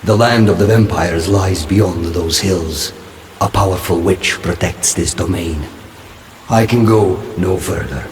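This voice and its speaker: A stern, cryptic guide with a deep, very slow delivery. The voice sits off the microphone in a long reverb with faint music, as in a dark fantasy game. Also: male voice, a little hiss or hum. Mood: cold, cryptic. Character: mysterious, stern male guide who serves no one. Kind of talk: dramatic game cutscene acting. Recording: echoing hall, distant, music behind.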